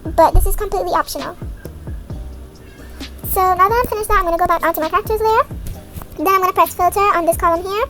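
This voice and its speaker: A woman's voice: extremely high pitch, fast talker, pauses often. A young woman giving a casual, step-by-step tutorial as a video creator in a voice-over. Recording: music behind the voice. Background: background music.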